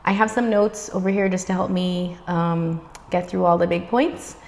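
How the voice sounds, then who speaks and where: A woman's voice: lowish voice, normal speed. Chatty woman from the Bahamas. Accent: neutral American-sounding. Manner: chatty, upbeat, lively. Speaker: vlogger. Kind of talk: casual talk to camera. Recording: reverberant room sound.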